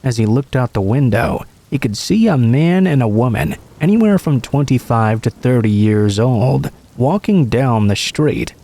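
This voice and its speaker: A man reading scripted first-person stories as a narrator, in a measured storytelling way. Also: mid-range voice, lively intonation, dark tone.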